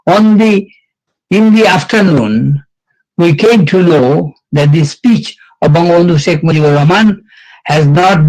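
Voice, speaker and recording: An older man recounts the past at an event in a slow, unhurried delivery. His voice sits a bit high for a man, and he halts often on fillers and restarts his sentences as he gathers his thoughts. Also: a little mellow, poor audio. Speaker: event speaker. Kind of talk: reminiscing speech.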